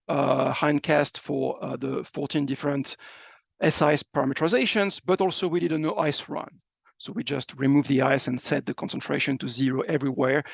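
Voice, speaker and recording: A man giving a scientific presentation as a researcher, explaining slides in a halting, hesitant way with frequent fillers. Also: fairly high pitch, gravelly, no echo.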